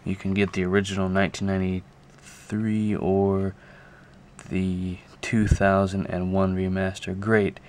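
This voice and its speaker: A man giving a casual, unscripted show-and-tell to a camera, talking haltingly and thinking aloud as he goes. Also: low-pitched, level tone, a little mellow. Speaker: video creator showing a music collection. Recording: clear background noise, amateur camera audio.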